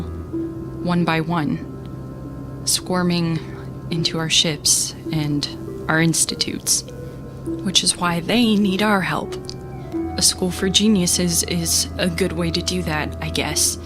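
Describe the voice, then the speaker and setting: Actress as young female captain, exasperated, sarcastic, audio drama narration, slightly low voice, clear music underneath. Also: lightly acted. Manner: sarcastic, bickering, conversational. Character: exasperated young woman space captain telling her story. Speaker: narrator and lead character.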